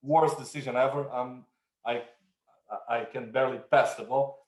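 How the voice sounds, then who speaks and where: A man's voice: mid-range voice, fairly even intonation, normal speed, darkish tone, echoey room, low-quality recording. A man with a Brazilian accent, introducing himself on a panel over a video call, self-deprecating, with small restarts.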